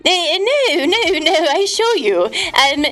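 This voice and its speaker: A woman with a high, lively voice answers a call hesitantly, putting on a mock-formal tone and playing along with the joke. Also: slow pace, faint music behind. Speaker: prank call recipient.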